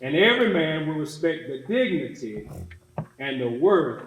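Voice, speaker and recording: An earnest civil rights era preacher played by a man delivers a stage sermon in deliberate, oratorical phrases. His voice sits fairly high for a man and rings in an echoey hall. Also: sing-song, slight background noise. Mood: solemn, exhorting. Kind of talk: staged sermon in readers theatre.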